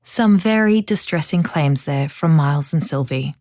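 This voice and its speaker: An actress playing a composed investigative podcast host, probing but sympathetic, in an audio drama. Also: female voice, sits low, lively intonation, normal speed, a little mellow. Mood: composed, presenting. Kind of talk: scripted podcast narration and interviewing.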